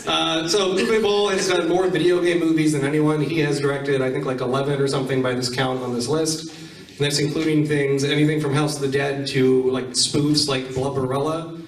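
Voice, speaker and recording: A man's voice: a little high, steady tone, normal speed, far from the mic. A man hosting a live panel, talking casually to the room at a convention. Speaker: panel host. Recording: roomy hall, picked up from afar.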